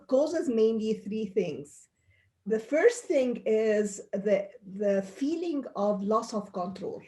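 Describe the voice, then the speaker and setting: Mellow-voiced woman from Egypt. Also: mid-range voice. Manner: earnest, explanatory, with frequent restarts. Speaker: pediatrician guest. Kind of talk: interview answers.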